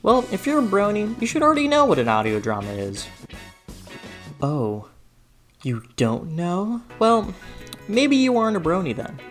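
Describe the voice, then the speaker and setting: A woman's voice: medium pitch, wildly swooping pitch, moderate pace, pauses often. A woman narrating a scripted video essay as host, with a theatrical, sarcastic delivery and exaggerated emphasis. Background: background music.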